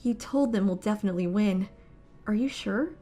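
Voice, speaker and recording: A woman's voice: mid-range voice, normal speed, warm tone, faint music behind, close to an everyday voice. A woman voicing a cute, teasing, caring teenage girl team manager in a manga dub. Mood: concerned.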